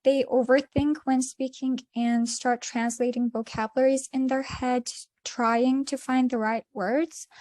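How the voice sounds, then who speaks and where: A young woman from Iran with an Azerbaijani accent, an English teacher giving a short talk and answering questions, pausing often with fillers. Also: high-pitched, darkish tone, no room sound. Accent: Iranian Azerbaijani. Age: young adult. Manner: hesitant, many fillers. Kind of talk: presentation then Q&A.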